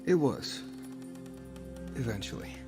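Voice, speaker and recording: A man playing a weary, wry demon hunter with a gravelly voice and a measured, understated delivery in a dramatic motion comic. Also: medium pitch, sing-song, over music. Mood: grave, somber. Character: weary, wry scarred demon hunter, burdened by his past.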